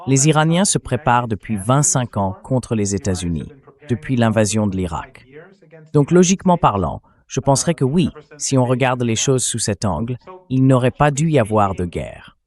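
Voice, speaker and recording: Brief: mid-pitched male professor, firm and emphatic. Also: big pitch swings, normal speed, darkish tone. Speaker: professor and guest. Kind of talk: dubbed interview commentary. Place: in Tehran.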